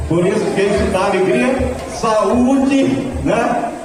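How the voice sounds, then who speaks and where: A bumba meu boi master giving a slow, solemn thank-you speech to an audience. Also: male voice, sits high. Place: cultural center hall. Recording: echoey hall, distant mic, crowd.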